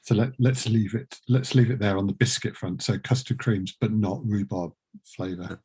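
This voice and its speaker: A man's voice: on the low side, moderate pace, dark tone, a little hiss or hum. Middle-aged man, dry and joking. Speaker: podcast producer and host. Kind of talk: casual podcast chat.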